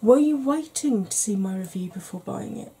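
A middle-aged woman talks in a soft, unhurried ASMR manner, chatting on about all kinds of things. Her voice is mellow and slightly husky, with a relaxed warmth. She sits close to the camera in a small room at home. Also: medium pitch. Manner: gentle, rambling, chatty. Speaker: beauty YouTuber. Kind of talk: soft-spoken ASMR makeup chat.

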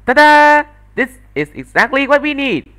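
A man voicing an eager, wry young woman sleuth in visual novel dialogue, animated and teasing. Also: extremely high pitch, measured pace, soft background music, voice pushed up, full character voice.

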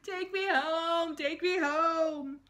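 A woman's voice: very high-pitched, fairly even intonation, very slow, fairly bright tone, voice pushed up, heavily acted. Woman doing a screaming, scared little boy. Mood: screaming, upset.

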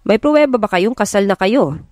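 A woman's voice: mid-range voice, lively intonation, fairly quick, darkish tone, no room sound, clean studio sound, faint music behind, close to an everyday voice. A woman voicing a stern, skeptical father of a grown son, commanding and terse, mixing English into Tagalog. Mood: stern, doubting.

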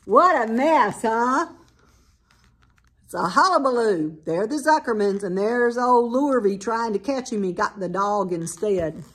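A middle-aged woman with a slightly husky voice reads a children's story aloud expressively, like a teacher. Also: on the high side, expressive, a little slow, a bit bright. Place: indoor room at home by a staircase.

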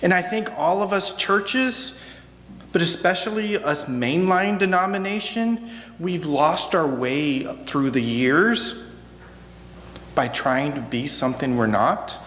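A middle-aged American man preaches slowly and reflectively in a fairly high voice. He leaves deliberate pauses between phrases, and his words ring with long reverb in a church. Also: a bit bright. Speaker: guest preacher. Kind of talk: reflective sermon. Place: church sanctuary, at a pulpit. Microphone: gooseneck pulpit microphone. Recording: echoey hall, noticeable hum.

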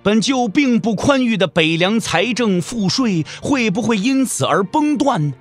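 A man narrates a martial-arts epic as a dramatic storyteller. His delivery swings widely in pitch, sitting fairly high for a man, with faint music underneath. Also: expressive, a little slow.

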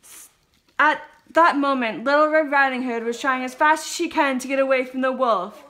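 A woman with a high voice reads scripted fairy-tale narration. Her tone is bright, and the recording has the roomy sound of indoors. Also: normal speed.

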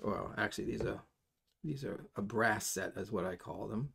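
Older man, creaky voice, informal how-to. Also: lowish voice, a bit bright. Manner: halting, folksy, rambling. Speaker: soldering instructor. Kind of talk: informal hands-on demonstration. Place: indoors at a workbench.

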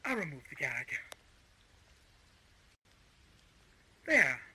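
An actor playing a smug, menacing male crime boss in an audio roleplay, speaking in a mocking, condescending and deliberate way. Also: on the high side, big pitch swings, sharp tone, a little hiss or hum, heavily acted. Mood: mocking, amused. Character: smug, menacing male crime-organization villain, coolly coercive. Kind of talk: scripted audio roleplay villain acting.

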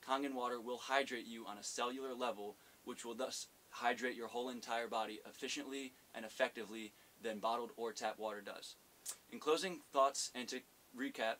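A young man in his twenties, the owner of a cafe, delivering a rehearsed business pitch to camera in an earnest, steady way, with the odd slip. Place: indoor studio set. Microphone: fixed camera framing, head and shoulders. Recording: faint hiss.

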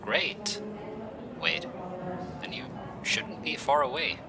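Young man as an affectionate boyfriend, hushed, teasing, slow delivery, ambient noise behind. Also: high-pitched, gravelly, full character voice. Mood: soft, nervous. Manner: soft, hushed, teasing with light laughs. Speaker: voice actor. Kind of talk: audio roleplay. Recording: steady ambient background noise. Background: busy airport ambience.

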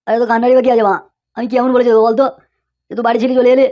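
A woman's voice: fairly high pitch, level tone, racing, natural voice. A woman voicing a hot-tempered, exasperated young cartoon husband who scolds and blusters. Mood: scolding, pleading. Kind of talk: comic cartoon dialogue. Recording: dull lo-fi sound.

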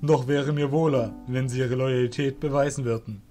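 A man voicing a male fairy councillor, grave and formal, with drawn-out speech, in a fantasy audio drama. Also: mid-range voice, steady tone, dark tone, soft background music, natural voice. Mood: grave, approving.

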